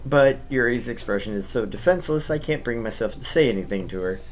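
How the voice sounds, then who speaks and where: A man's voice: mid-range voice, expressive, fast talker, natural voice. A man reading a visual novel as its awkward, reluctant schoolboy narrator, telling the story and speaking his hesitant lines. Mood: awkward, pleading.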